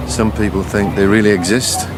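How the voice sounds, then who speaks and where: An actor playing a patient psychologist who draws out buried memories, speaking slowly and calmly in a coaxing, hypnotic way. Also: male voice, fairly low pitch, close to an everyday voice. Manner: calm, slow, coaxing, hypnotic questioning. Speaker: memory recall specialist. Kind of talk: radio drama acting. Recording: music playing under the voice.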